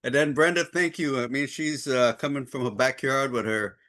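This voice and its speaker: A man from the Caribbean hosting an informal online webinar, upbeat and effusive, in a wordy, halting way. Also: medium pitch, sharp tone. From Trinidad and Saint Croix, Caribbean. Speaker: host and trainer of online group call.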